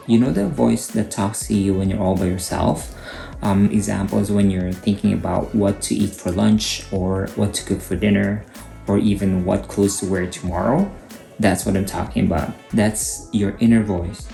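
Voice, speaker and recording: A middle-aged Filipino man with a Filipino accent, hosting a channel and calmly explaining advice to camera. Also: lowish voice, moderate pace, creaky. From Philippines. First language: Cebuano. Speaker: YouTube host, HR coach. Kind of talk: scripted advice talk to camera. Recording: echoey room with faint guitar music.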